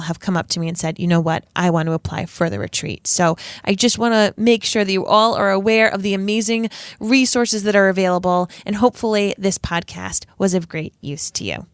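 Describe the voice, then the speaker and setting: A woman's voice: mid-range voice, clear, resonant tone, no room sound, clean studio sound. A woman with a Rhode Island accent hosting a podcast from her basement, chatty, bubbly and self-deprecating. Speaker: podcast host. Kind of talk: casual podcast chat. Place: home basement. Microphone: studio microphone.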